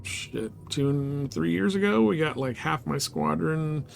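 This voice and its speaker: A man's voice: a little high, expressive, a little slow, vocal fry, slight background noise. A man chatting casually as he streams a game, laid-back, slangy and prone to swearing. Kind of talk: casual chat with viewers while playing.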